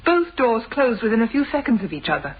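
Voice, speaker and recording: A forgiving, quietly assertive wife in a radio play. Her voice sits fairly high and moves with great animation, brisk and reassuring. The old recording is dull in tone. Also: sing-song, husky. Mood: reassuring, explaining. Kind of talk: radio drama acting.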